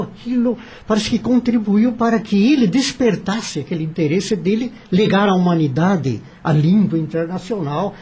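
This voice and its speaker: Husky, high-pitched Brazilian man. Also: dark tone. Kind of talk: reflective discussion recounting a story.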